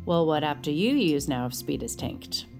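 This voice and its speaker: A woman's voice: low-pitched, lively intonation, moderate pace, gravelly, over music. An actress playing a caring, inquisitive sister and mother in a naturalistic audio drama. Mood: friendly prying.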